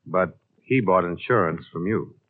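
Cool-headed insurance investigator, American, clipped and pressing, mid-century radio style, narrow old broadcast sound. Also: male voice, sits low, measured pace. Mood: probing. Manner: clipped, dry, pressing questioning. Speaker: lead actor and first-person narrator. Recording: thin band-limited vintage radio recording.

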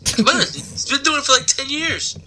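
A man hosting a comedic wrestling news segment with banter, speaking in an animated, mock-earnest way. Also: very high-pitched, normal speed, background noise. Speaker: host reading wrestling news. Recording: music playing behind the voice. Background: music.

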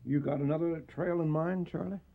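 An actor playing a dignified, courteous cattleman in his fifties with a husky voice, in a radio drama. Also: a little high, steady tone, fairly quick, narrow, tinny audio. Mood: calm, interested. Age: 50s. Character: dignified, seasoned cattleman of fifty-four. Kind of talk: Western radio drama acting.

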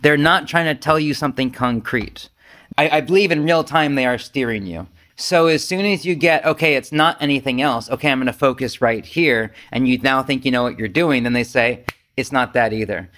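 A man giving an informal, rambling Zen talk as a retreat teacher, conversational, animated and self-deprecating. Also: medium pitch, fairly quick.

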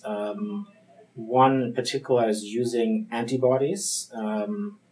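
A man's voice: lowish voice, a little mellow, rough recording. A man from Germany with a German accent, a physician-researcher answering interview questions in a thoughtful, hesitant way with frequent ums and restarts.

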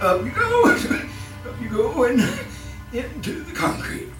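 A man's voice: high voice, reverberant, recorded from a distance, exaggerated voice, poor audio. A man playing a fussy, murderous master of the house in a 1930s-style radio horror play, with a stammering, repetitive, chuckling delivery. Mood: gleeful, mad. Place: live stage performance in a room.

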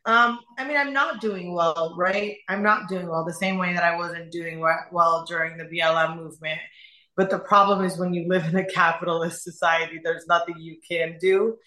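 A young adult woman from Iran speaking as a guest, earnest and halting, often repeating her phrases. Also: on the low side, steady tone, bright, forceful tone, reverberant, rough recording. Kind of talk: emotional personal testimony in conversation.

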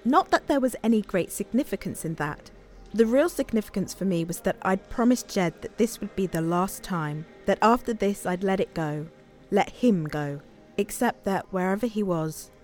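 Casting: female actor for determined young British woman living rough, medium pitch, plain-spoken and hopeful, radio play. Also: expressive, moderate pace, a little mellow, soft background music, close to an everyday voice. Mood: reflective. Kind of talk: audio play dialogue and narration.

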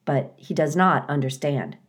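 A woman voicing an earnest, literal-minded female robot in a children's storybook, speaking in a measured, formal way. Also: low-pitched, lively intonation. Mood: matter-of-fact. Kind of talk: children's storybook character reading.

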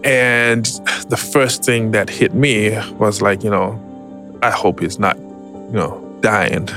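Low, gravelly man sharing testimony. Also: fairly even intonation, a bit bright, faint music behind. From Zimbabwe. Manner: reflective, hesitant, pausing often. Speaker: interview guest. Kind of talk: personal testimony, conversational storytelling.

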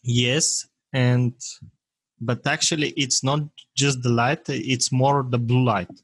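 A man from Bulgaria with a Bulgarian accent, talking as an interview guest in his second language, pausing often to search for words. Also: mid-range voice, steady tone, no room sound. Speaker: guest, software maker. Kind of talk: casual interview talk.

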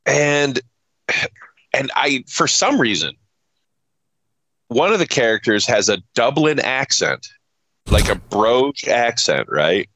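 Animated, profane man ranting. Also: medium pitch, slow, pauses often, no room sound. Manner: profane, exasperated, emphatic. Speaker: podcast co-host. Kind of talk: casual chat, ranting movie review.

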